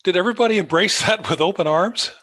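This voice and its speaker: A man's voice: sits high, fast talker, fairly bright tone, no echo. A man hosting a podcast, interviewing a guest in a casual, conversational way.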